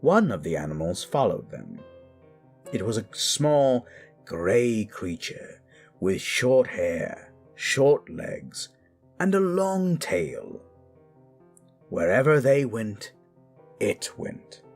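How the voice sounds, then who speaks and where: A man's voice: fairly low pitch, huge pitch swings, slow, gravelly, long pauses, a little mellow, faint music behind. A man hosting and narrating scary stories with theatrical, macabre relish, moving between dramatic storytelling and musing commentary. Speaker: horror story narrator and host.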